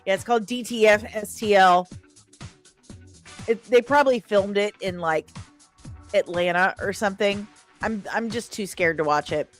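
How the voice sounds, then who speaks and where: A woman hosting a casual livestream, chatting and reading out comments in a playful, expressive, sing-song way. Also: medium pitch, normal speed, pauses often. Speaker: live stream host reviewing comics and screen news. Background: faint background music.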